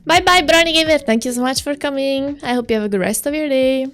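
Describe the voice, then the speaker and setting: A woman's voice: high-pitched, fast, a bit bright, faint music behind. A woman from Italy with an Italian accent, chatting casually on a livestream while she draws; she is chatty and giggly, often trailing off and starting her sentences again. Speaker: streamer.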